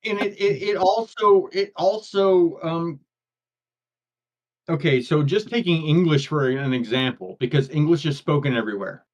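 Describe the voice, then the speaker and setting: An older man co-hosting a casual chat, his voice a touch higher than most men's. He rambles through his points, doubling back and repeating words as he restarts sentences. The audio comes through dull and lo-fi. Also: lively intonation, normal speed. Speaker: podcast co-host.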